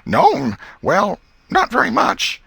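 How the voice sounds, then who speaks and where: A man's voice: high voice, wildly swooping pitch, slow pace, vocal fry, a bit bright, husky, dry recording, pitched above the performer's own voice, heavily acted. A man voicing a mischievous, cagey young country boy in a storybook reading. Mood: cagey, innocent. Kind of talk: dramatized audiobook reading.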